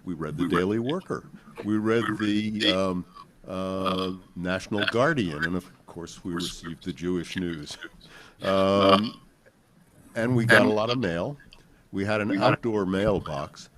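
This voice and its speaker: Older American man, low halting voice. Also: a bit bright, slight background noise. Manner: halting, deliberate, reflective. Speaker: panel speaker sharing family recollections. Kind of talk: unscripted storytelling to an audience.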